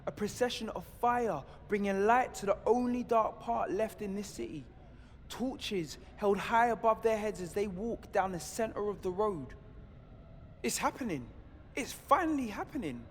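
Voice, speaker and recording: A man playing an earnest, determined rebel in a dystopian audio drama, speaking with intense, measured conviction. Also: high-pitched, animated. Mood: awed excitement. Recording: long reverb, faint background noise.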